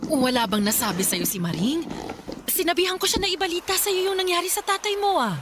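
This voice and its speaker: A woman's voice: extremely high pitch, animated, brisk, background noise. An anxious fisherman's wife. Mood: worried. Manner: anxious, tearful. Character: worried poor fisherman's wife, mother of six. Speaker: mother. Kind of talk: radio drama acting.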